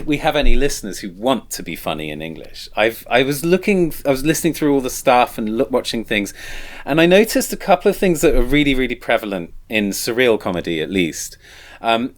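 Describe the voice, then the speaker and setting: A man's voice: mid-range voice, sing-song, fairly quick. A man hosting a podcast who chats casually and eagerly, often agreeing with his guest. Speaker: podcast host. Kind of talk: casual conversation.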